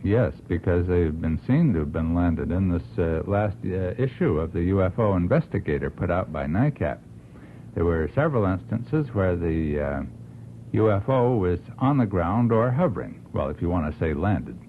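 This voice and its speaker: A man speaking as a panel guest on a radio talk show, earnest, with deliberate and hesitant phrasing. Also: sits low, expressive. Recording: thin, narrow old radio recording, slight noise.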